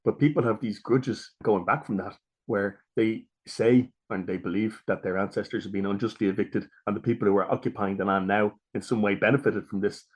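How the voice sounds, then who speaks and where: Man, Irish accent, lowish pitch, very quick pace, history podcast host, conversational interviewing. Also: fairly even intonation.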